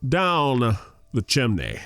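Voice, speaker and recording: Slow, theatrical man reading verse. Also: medium pitch, huge pitch swings, a bit bright, no room sound, soft background music. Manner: theatrical, deliberate, with pauses. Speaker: reader of a poem. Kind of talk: dramatic poetry reading with character impressions.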